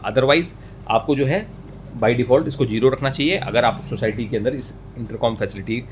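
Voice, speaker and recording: An Indian man presenting a step-by-step technical tutorial, mixing English terms into his speech and often rephrasing as he explains. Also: mid-range voice. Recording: clear background noise, room ambience.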